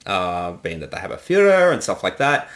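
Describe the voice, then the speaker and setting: Man chatting with lively, lowish voice. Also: moderate pace. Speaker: creator giving director's commentary. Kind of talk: casual film commentary chat.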